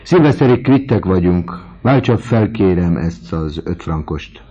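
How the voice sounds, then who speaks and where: A man's voice: low voice, darkish tone, natural voice. A man playing a proud, cold young student. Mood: polite. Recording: dull lo-fi audiobook recording.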